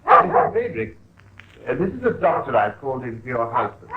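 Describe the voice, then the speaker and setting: An actor playing an earnest, worried Victorian physician, speaking with urgent emphasis in 1950s radio drama style. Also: male voice, mid-range voice, huge pitch swings, brisk, very noisy. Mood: polite. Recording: muffled old radio recording.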